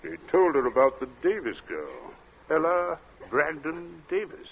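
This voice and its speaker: An actor playing a cold, urbane captain in an old radio thriller, speaking in a suave, measured way with polite menace and small hesitant asides. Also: male voice, a little high, sing-song, breathy edge, heavily acted. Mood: calmly calculating. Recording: dull, noisy vintage radio recording.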